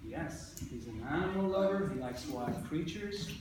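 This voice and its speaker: A man's voice: a little high, animated, moderate pace. Echoey, distant male teacher prompting a class. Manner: prompting, often repeats answers and questions. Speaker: second-grade teacher. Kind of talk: leading a classroom read-aloud discussion. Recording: echoey, noisy room, distant mic.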